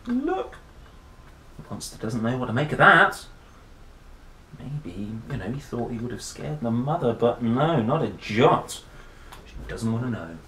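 Slow, expressive man telling stories. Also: medium pitch. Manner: playful, expressive, dramatic pauses. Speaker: storyteller and host. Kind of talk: picture-book reading with chatty asides. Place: small wooden room or shed. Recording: roomy echo, faint background noise.

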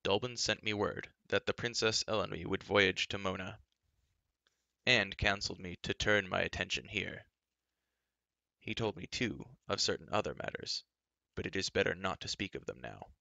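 A man voicing a calm, wise male hero in disguise, low and measured. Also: creaky, dry recording, exaggerated voice. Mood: secretive.

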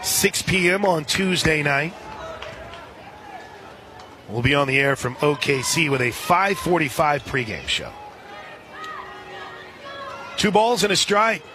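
Higher-pitched man calling softball over crowd noise. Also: big pitch swings, takes it slowly, long pauses. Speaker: radio play-by-play announcer. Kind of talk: live softball play-by-play commentary. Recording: crowd noise and music behind. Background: ballpark crowd and music.

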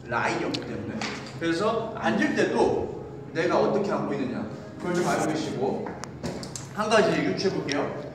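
A man in his thirties, a fitness coach, giving an animated exercise lesson while demonstrating moves in a large indoor gym, filmed from a distance. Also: a little high, normal speed, background noise. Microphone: far camera. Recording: echoey gym from far off.

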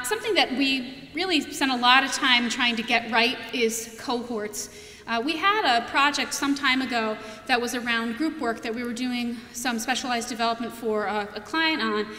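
Young woman at a podium in a big echoey auditorium, highish voice, lots of ums. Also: sharp tone, a little hiss or hum. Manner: conversational, frequent fillers and restarts. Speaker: keynote speaker presenting product features. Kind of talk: conference keynote. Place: large auditorium stage at a podium. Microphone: podium mic from a far camera. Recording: echoey auditorium with long reverb.

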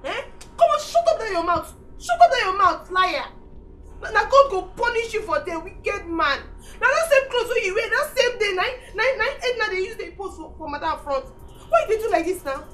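A woman's voice: extremely high pitch, animated, a little fast, a bit bright. A woman with a Nigerian accent playing a betrayed, furious young lover in a Pidgin comedy-drama, wailing and scolding. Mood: furious, cursing. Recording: distant mic with faint noise.

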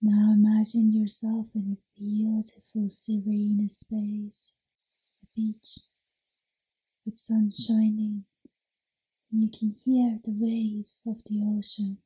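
Slow, very soft husky ASMR woman. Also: mid-range voice, completely flat delivery, poor audio. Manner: mostly whispered, soothing, unhurried delivery. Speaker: guided meditation host. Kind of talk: ASMR guided meditation.

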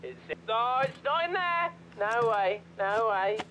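High-pitched, flustered office boss. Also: male voice, a little hiss or hum. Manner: rambling, halting, repeats himself excitedly. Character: flustered, over-eager male office accounting boss. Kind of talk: improvised comic video game character.

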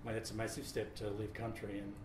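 A middle-aged man from Australia hosting an interview, relaxed and informal, with many fillers and the odd "mate". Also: on the low side, quick pace. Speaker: interview host. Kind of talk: casual interview. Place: office meeting room with large windows. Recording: roomy office with faint noise.

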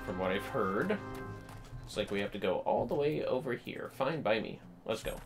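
A man's voice: fairly low pitch, animated, moderate pace. A man livestreaming a game with casual, chatty commentary in an easygoing manner. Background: faint music.

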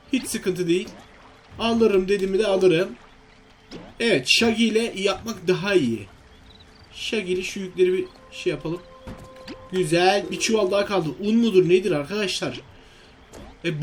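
A man giving casual, chatty, exclamatory let's-play commentary to his viewers, often asking himself questions. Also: sits high. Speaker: gaming video host.

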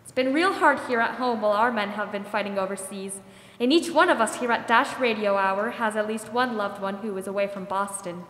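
A woman's voice: mid-range voice, a little fast, a bit bright, lightly acted. A young woman acting a perky, sentimental wartime hostess in 1940s radio style. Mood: wistful, fond. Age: young adult. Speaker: radio show host. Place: theater stage, standing mic. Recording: echoey theater hall.

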